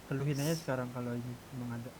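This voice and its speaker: A man's voice: mid-range voice, fairly even intonation, racing. A man leading a casual group discussion in an online game, talking informally. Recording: hissy gamer voice chat.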